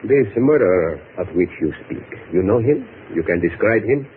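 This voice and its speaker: Male actor as courtly Cuban police chief, low voice, swooping intonation, formal, suspicious, radio drama. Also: lively intonation, natural voice. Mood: probing. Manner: formal, measured, ornate phrasing. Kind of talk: 1950s radio acting. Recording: noisy, tinny old radio broadcast.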